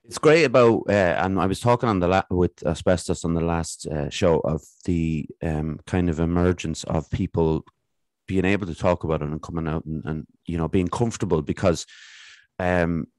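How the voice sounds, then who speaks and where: A man's voice: low-pitched, normal speed, a little mellow, no echo. A man with an Irish accent hosting a casual podcast interview, talking in long rambling turns into a studio mic. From Ireland. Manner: rambling, many fillers and restarts. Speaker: podcast host. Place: home room. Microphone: studio mic with headphones.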